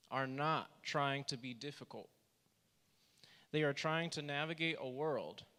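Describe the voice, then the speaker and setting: A soft-spoken man who is a therapist, giving a church workshop presentation and reading quotes aloud with earnest emphasis. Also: medium pitch. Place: church hall. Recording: reverberant church hall.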